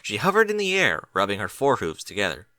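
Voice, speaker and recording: A man giving expressive, dramatic scripted narration of a story, with deliberate phrasing. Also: on the low side, dry recording. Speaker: audiobook narrator.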